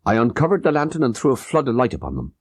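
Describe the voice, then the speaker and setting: Male narrator as ex-soldier telling his crime; husky, old-fashioned, earnest, dramatically swooping delivery. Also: mid-range voice, huge pitch swings, rapid-fire, darkish tone, dry recording, studio quality, voice pushed up, heavily acted. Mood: suspenseful. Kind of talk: audiobook character narration.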